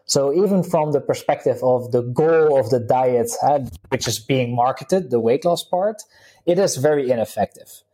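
A man's voice: medium pitch, moderate pace, mellow, slightly rough, low-quality recording. A man in his thirties from the Netherlands with a Dutch accent, a guest talking casually in an interview, full of fillers and restarts. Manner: chatty, many fillers and restarts, laughs easily. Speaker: guest, vegan health coach.

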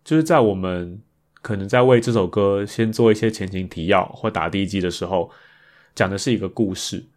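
Low-voiced Taiwanese man, reflective podcast talk. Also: brisk, darkish tone. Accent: Taiwanese Mandarin. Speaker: podcast host. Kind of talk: reflective casual monologue about improvised songs.

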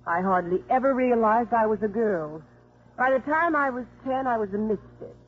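An actress playing a guarded, wounded, defiant career woman with a husky voice in a 1950s radio drama. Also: on the high side, expressive, moderate pace, natural voice. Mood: hurt, bitter. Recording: thin, phone-like old radio recording.

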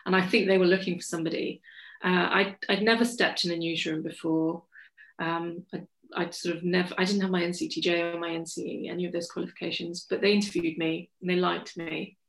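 Forthright woman, gravelly. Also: on the low side. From England. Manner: lively and forthright, quick anecdotal telling. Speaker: journalist, interview guest. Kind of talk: candid conversational storytelling. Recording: echoey room.

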